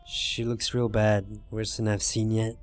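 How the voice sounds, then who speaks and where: A man voicing a weary, frightened driver in a zombie visual novel, reading first-person lines in a subdued, halting, brooding way. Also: on the low side, monotone, natural voice. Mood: grim, scared. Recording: music behind the voice.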